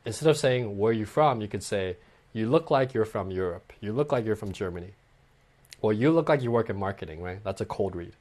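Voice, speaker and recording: A man giving dating advice in a conversational way, often acting out sample lines and checking in with "okay" and "right". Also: medium pitch. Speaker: dating coach guest.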